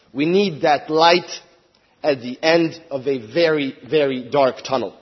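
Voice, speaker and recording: A man's voice: fairly high pitch, husky, dull audio. A man from Hungary, a member of parliament, giving an earnest prepared speech at a conference and rising to emphatic appeals.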